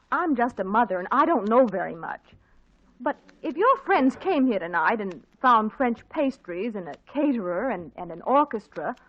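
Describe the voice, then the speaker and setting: A woman playing a patient, dry-witted mother in a 1940s radio comedy, with a deadpan, teasing manner. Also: a little high. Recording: muffled 1940s radio recording.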